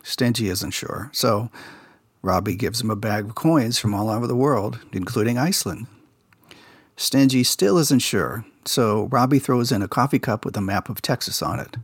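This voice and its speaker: A man's voice: medium pitch, normal speed, husky, no echo, studio quality. A man hosting a podcast, casually recapping a children's TV episode and sharing behind-the-scenes production stories. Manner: relaxed insider storytelling, recounting production details.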